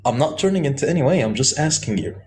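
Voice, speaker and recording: A man's voice: medium pitch, big pitch swings, fast talker, darkish tone, faint music behind. A man in a live debate, pressing with probing, insistent questions. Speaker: debate participant.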